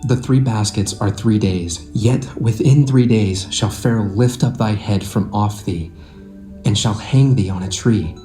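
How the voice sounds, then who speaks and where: Actor as an earnest Hebrew servant, mellow, echoing. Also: male voice, on the low side, measured pace, faint music behind, natural voice. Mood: solemn. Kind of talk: dramatised scripture reading.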